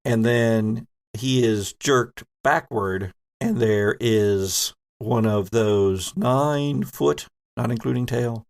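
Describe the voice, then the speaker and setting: A man's voice: mid-range voice, slow pace, vocal fry, hardly any pauses. A man narrating a tabletop role-playing game as game master, setting scenes with vivid description.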